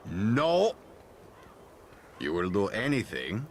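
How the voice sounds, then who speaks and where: A man playing a proud, stern father and leader in a video game, gravelly, with a theatrical, commanding delivery. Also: lowish voice, huge pitch swings, slight background noise, exaggerated voice. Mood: stern, scolding. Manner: commanding, deliberate, dramatic pauses. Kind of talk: video game cutscene acting.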